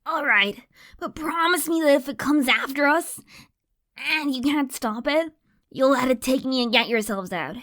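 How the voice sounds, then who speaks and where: Cartoon filly pony: very high, bright, wide-swinging delivery, earnest and scared. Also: female voice, moderate pace. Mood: uneasy, pleading. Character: frightened young filly pony, earnest and insistent. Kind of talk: animated fan fiction audio drama acting.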